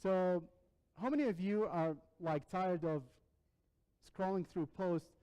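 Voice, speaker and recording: A man, presenting his software projects earnestly and restarting words as he goes. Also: sits high, slow pace, clear, resonant tone, rough recording. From Iraq. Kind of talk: capstone demo presentation.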